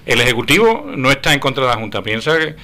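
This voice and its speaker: A man's voice: mid-range voice, lively intonation, fast, pushed and bright. A man giving political commentary as a panelist in a live radio discussion.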